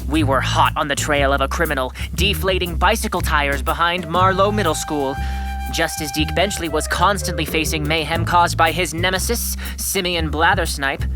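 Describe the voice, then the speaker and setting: A woman's voice: lowish voice, lightly acted. Boyish kid sleuth played by a woman, pompous and wordy, earnest audio-drama delivery, music underneath. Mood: self-important. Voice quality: youthful, boyish. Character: earnest, bossy schoolboy self-appointed detective. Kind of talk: children's audio drama acting with narration.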